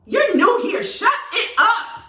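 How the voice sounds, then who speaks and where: A woman's voice: very high voice, slow pace, echoey room, far from the mic. A woman from New York with a New York accent, ranting passionately and sassily straight to her audience with emphatic repetition. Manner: animated, sassy, emphatic repetition. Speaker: vlogger. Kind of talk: passionate direct-to-camera rant.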